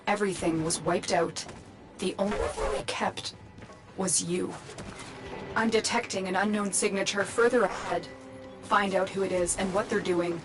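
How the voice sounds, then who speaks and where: A woman playing a composed, motherly mentor to young warriors in a video game, speaking in a measured, solemn, commanding way. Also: mid-range voice, natural voice, rough recording. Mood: urgent. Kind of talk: scripted video game voice acting. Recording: music behind the voice. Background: game music.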